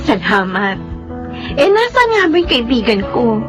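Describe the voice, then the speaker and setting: An actress playing a coy, materialistic, discontented wife in an emotional Tagalog radio drama style. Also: female voice, high-pitched, big pitch swings, slow pace, over music, exaggerated voice. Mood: coy, wistful.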